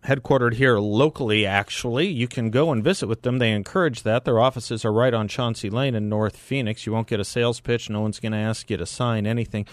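A man's voice: mid-range voice, fairly even intonation, fairly quick, hardly any pauses, dry recording. An American man hosting a talk radio show, speaking to listeners in a conversational broadcast style. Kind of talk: live talk radio chat and host-read ads.

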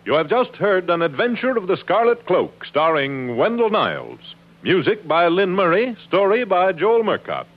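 A man announcing credits in a booming, theatrical 1950s radio style. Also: huge pitch swings, moderate pace. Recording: tinny narrow-band 1950s radio recording.

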